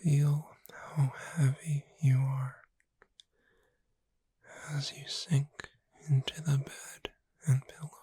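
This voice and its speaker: A woman playing a gentle witch bookseller in a soothing, hushed, whispered ASMR roleplay, close to the microphone. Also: rumbling low, level tone, slow, no room sound, full character voice. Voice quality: breathy whisper. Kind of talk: soft-spoken ASMR roleplay. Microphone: close microphone in a dry space.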